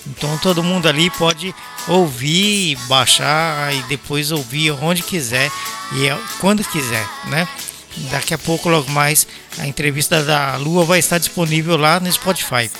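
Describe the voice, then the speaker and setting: A woman's voice: low-pitched, normal speed. A woman hosting a live interview, encouraging her guest with many short acknowledgements. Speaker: interviewer, host. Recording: music playing behind.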